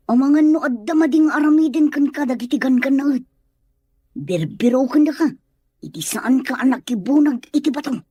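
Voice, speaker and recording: A woman's voice: sits high, lively intonation, fairly quick, dark tone, slightly rough, no echo, clean studio sound. A woman playing an anxious, protective elder sister, pleading with concern in a Filipino radio drama.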